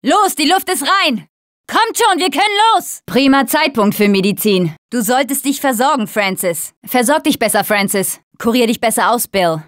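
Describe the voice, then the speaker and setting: A woman's voice: on the high side, expressive, moderate pace, fairly bright tone, no room sound, clean studio sound. A young woman acting a spirited, caring apocalypse survivor in a video game, speaking in short, emphatic phrases. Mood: practical, urging. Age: young adult.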